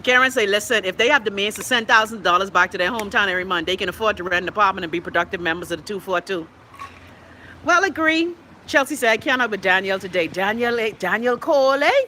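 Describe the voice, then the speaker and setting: A woman's voice: mid-range voice, fast, slight background noise. A middle-aged Bahamian woman with a Bahamian accent, hosting an online show, reading viewer comments and answering them bluntly with swearing and coughing fits. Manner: animated and blunt, swears freely, reads comments aloud. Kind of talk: casual live-stream commentary.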